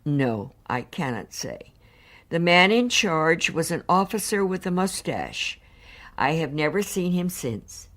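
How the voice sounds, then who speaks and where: An actress playing a married woman survivor, giving composed, earnest testimony in a measured, formal, precise way. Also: deep voice, very animated, a bit bright, exaggerated voice. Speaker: actor reading witness testimony. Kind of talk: dramatised reading of hearing testimony.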